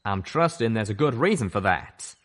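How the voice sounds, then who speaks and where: A man's voice: on the low side, expressive, normal speed, fairly bright tone. A man voicing a male pegasus pony friend in a storybook reading, blunt and grumbling. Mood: grousing.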